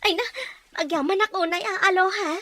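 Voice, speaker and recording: A woman's voice: extremely high pitch, moderate pace, fairly bright tone, slight background noise. A woman playing an eager, chatty young single neighbour in a family drama, with an Ilocano accent. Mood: delighted, friendly. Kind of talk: acted family drama dialogue.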